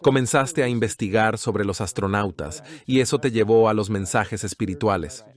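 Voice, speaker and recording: A man's voice: lowish voice, moderate pace. A man hosting an interview show, introducing his guest and asking guiding, inquisitive questions. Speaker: interview host.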